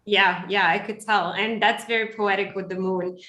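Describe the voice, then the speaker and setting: A woman in her thirties from Ukraine, hosting a podcast interview in a friendly, relaxed way. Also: mid-range voice, normal speed, reverberant. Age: 30s. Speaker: podcast host. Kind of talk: casual interview chat.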